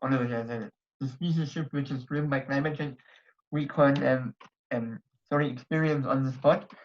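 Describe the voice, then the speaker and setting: A man's voice: mid-range voice, steady tone, moderate pace. A young man from Germany speaking English with a German accent, giving a hesitant online talk full of fillers and restarts. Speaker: presenter at a society members night. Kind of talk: casual online talk with slides. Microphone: computer mic on a video call. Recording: dull lo-fi video call audio.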